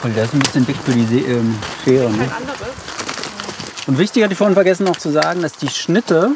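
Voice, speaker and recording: Man instructing outdoors, slightly high voice, birds and open-air noise around. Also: fairly even intonation, a little fast. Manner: casual, explaining, with tag questions.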